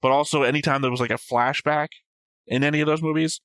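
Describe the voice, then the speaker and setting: A man's voice: fairly high pitch, fairly even intonation, fast talker, a bit bright, smooth, dry recording. A man talking casually as a reaction show host, rambling and explaining his thoughts at length. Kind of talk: casual chat, discussing an anime episode.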